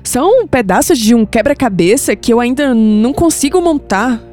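Actress as anguished young Brazilian granddaughter, softly mellow. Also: female voice, a little high, expressive, moderate pace, lightly acted. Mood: anguished, confused. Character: anguished, skeptical young granddaughter unsettled by past-life visions. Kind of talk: audio drama acting. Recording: music bed under the voice.

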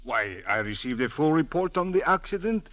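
An actor playing a brisk, commanding police captain with an authoritative, formal manner in a 1940s radio drama. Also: male voice, a little high, sing-song, fairly bright tone, dry recording. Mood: matter-of-fact.

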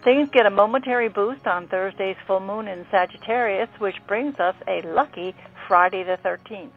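Woman reading horoscopes for radio, gravelly fry, thin phone-like sound. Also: medium pitch, moderate pace. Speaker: astrologer presenting a horoscope segment. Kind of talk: scripted radio narration. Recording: phone-like narrow band, music behind. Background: music.

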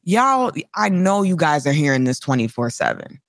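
A woman's voice: low-pitched, sing-song, moderate pace, no echo, clean studio sound. A woman gamer speaking as a guest in a casual discussion, impassioned and emphatic, repeating words for force.

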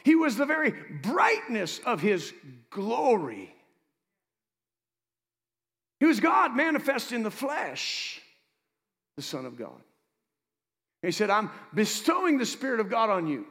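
A man preaching as pastor, moving between loud exhortation and quiet asides, joking with his congregation. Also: high voice, wildly swooping pitch, slow, pauses often. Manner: jokes with the congregation. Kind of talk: preaching a sermon.

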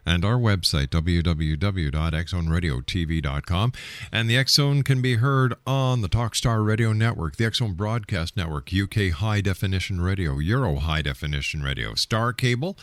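Low-voiced, animated male radio host. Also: normal speed, dry recording. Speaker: radio talk show host. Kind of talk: radio show introduction and interview.

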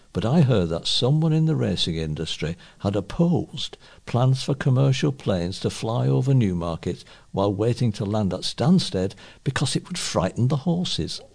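Male volunteer news reader, fairly deep voice with noticeable fry, reading scripted local press items. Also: darkish tone.

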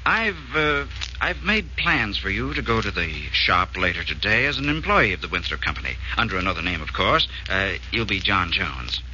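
An actor playing a polite, hesitant insurance man with a halting, deliberate delivery in 1940s radio drama style. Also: lowish voice, big pitch swings, sharp tone, heavily acted. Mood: hesitant, coaxing. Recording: muffled, hissy old-time radio.

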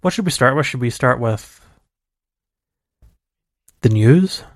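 A man's voice: medium pitch, expressive, slow pace, no room sound, clean studio sound. A man co-hosting a casual gaming podcast, chatty and rambling, laughing easily. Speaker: podcast co-host. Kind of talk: casual chat.

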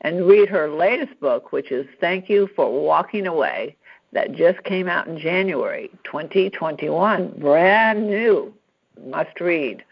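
A woman's voice: deep voice, vocal fry. A woman hosting an interview podcast, introducing her guest and asking questions in an encouraging way. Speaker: podcast host. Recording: dull, lo-fi podcast audio.

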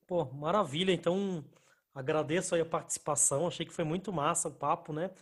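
High-voiced, laid-back Brazilian host. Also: male voice, a little fast, fairly bright tone. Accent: Brazilian Portuguese. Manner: laid-back, slangy, laughs easily. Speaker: podcast host. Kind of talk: casual podcast chat.

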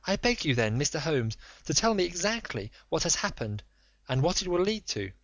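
Guy doing a high lively posh victorian lady begging for answers, dull lo-fi sound. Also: moderate pace, no echo. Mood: pleading. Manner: earnest, imploring, formal. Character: anxious, noble Victorian lady pleading. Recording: dull lo-fi dry recording.

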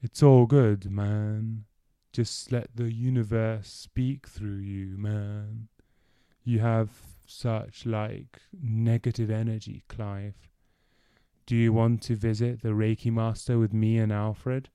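A man voicing a dreamy, stoned, laid-back young man who drawls slowly. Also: on the low side, little pitch movement, dark tone, clean tone, no echo. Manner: slow, drawling, hazy.

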